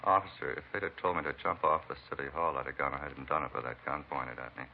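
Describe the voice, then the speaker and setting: A man's voice: a bass voice, steady tone, quick pace, gravelly. An actor playing a beaten, talkative American truck driver in a vintage radio drama, rambling and folksy in plain working-man talk. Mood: confiding, opinionated. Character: beaten truck driver, talkative, folksy, eccentric self-styled bump-reader. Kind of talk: 1940s-50s radio acting. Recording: muffled vintage radio recording, faint hiss.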